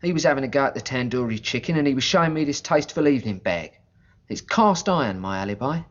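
Male actor as eager criminal client, colloquial, very animated intonation, radio drama, dull recording. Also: medium pitch, quick pace, natural voice. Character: eager, chatty English criminal client pleading his alibi.

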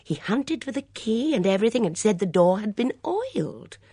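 Flustered older woman companion, acted by a female narrator, high-pitched, husky, stammering, apologetic, scatterbrained, audiobook. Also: very animated, moderate pace, mellow, dry recording, studio quality. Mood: excited, gushing.

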